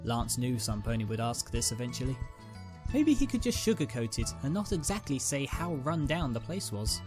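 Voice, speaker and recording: A man narrates scripted dramatic fiction in a mid-pitched voice. Faint music plays behind the storytelling. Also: very animated, normal speed.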